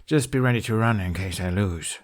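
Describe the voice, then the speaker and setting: A man's voice: fairly low pitch, fairly quick, natural voice. A man voicing a wry, evasive young prince in a story reading, with a casual, sardonic manner.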